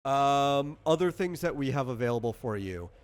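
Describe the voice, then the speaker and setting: A man with a medium-pitched voice runs a casual tabletop character-creation session. He explains the options as game master, feeling his way through them in a conversational, slightly hesitant style. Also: lively intonation, moderate pace, clear, resonant tone.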